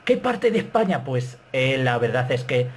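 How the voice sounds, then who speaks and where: A Spanish man in his thirties with a Castilian accent, talking to his webcam in a small bedroom, animated and self-mocking. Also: medium pitch, normal speed, slight background noise. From Spain. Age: 30s. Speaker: YouTuber. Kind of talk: casual vlog to camera.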